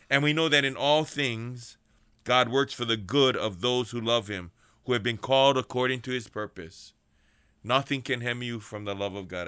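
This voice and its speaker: A man preaching a fervent radio sermon to people in prison, repeating phrases and swinging between high urgent calls and low hushed passages. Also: clean tone, dry recording. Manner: fervent, repetitive preaching with big pitch swings.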